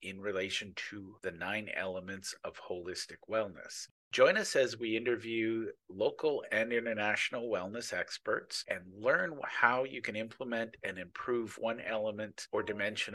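A man's voice: on the low side, pushed and bright. A man hosting a wellness podcast, reading a scripted intro and asking questions with enthusiasm. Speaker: podcast host. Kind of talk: scripted intro, then interview.

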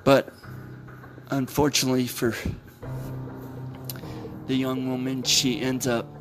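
Male podcast host, casual and halting, slow flat delivery over music. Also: mid-range voice. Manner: halting, with frequent self-corrections. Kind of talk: casual plot summary.

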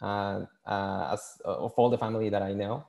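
A man from Mexico City, a native Spanish speaker with a Mexican accent, answering interview questions casually and haltingly, often repeating words. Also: on the low side, fairly even intonation, creaky, a little mellow. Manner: halting, repeats words, many "you know" fillers. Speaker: podcast guest, scientist and engineer.

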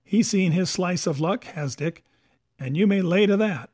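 A man's voice: high-pitched, normal speed, darkish tone, voice pushed up. A man voicing a cunning, defiant pirate captain in a storybook, with sarcastic questions and rustic sailor's talk. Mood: scornful. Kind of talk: dramatic audiobook character voice.

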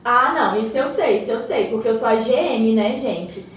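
A woman's voice: fairly high pitch, expressive, moderate pace, lightly acted. A woman playing a chatty, keen community member in a radio soap, informal and eager. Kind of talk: scripted radio soap, casual dialogue. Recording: very echoey, distant mic, faint noise.

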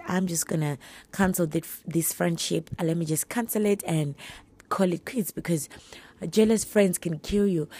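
A woman with a hoarse, husky voice from a flu, giving earnest, encouraging faith-based advice straight to her listeners. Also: lowish voice, warm tone, a little hiss or hum. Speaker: podcast host.

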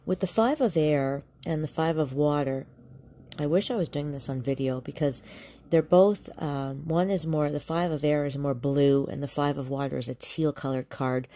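A woman doing a tarot reading as a medium, talking softly with many pauses and restarts, a little congested and nasal. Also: a bass voice, dry recording, studio quality. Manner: soft, searching, with many ums and restarts. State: congested, slightly nasal from allergies. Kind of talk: spontaneous tarot reading to camera.

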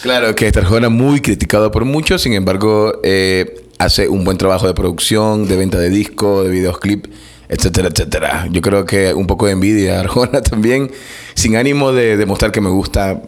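Low-voiced man interviewing. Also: normal speed. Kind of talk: conversational interviewing.

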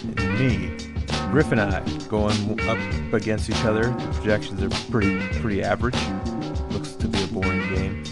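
A hoarse man casually hosting a fantasy sports podcast, rambling with lots of fillers. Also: on the low side, steady tone, moderate pace, over loud music. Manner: loose, rambling, many fillers. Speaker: podcast host, league commissioner. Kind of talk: casual solo podcast talk.

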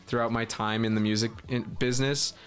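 A young man with a breathy voice, a musician chatting casually as a podcast guest and telling stories with lots of filler words. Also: medium pitch, steady tone, fairly quick, soft background music. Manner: chatty, filler-heavy storytelling.

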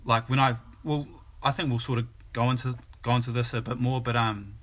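Chill kiwi guy hosting a podcast, says bro and like heaps, normal pitch. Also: level tone, a little fast, fairly bright tone. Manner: easygoing, lots of "bro" and "like". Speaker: podcast host. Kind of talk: casual podcast chat.

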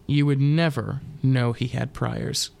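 A man narrating a scripted first-person dark comedy radio play in a deadpan, wry manner. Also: mid-range voice, slow, gravelly. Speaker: narrator and performer.

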